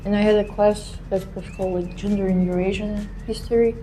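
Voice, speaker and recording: A woman's voice: mid-range voice, steady tone, a little fast, dark tone, poor audio. A young woman from Russia chatting casually as a guest in a reaction video, often searching for words. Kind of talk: casual chat.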